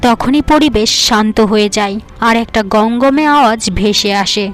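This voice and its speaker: High-voiced woman storyteller over music. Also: unhurried. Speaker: narrator. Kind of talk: scripted fairy-tale cartoon narration.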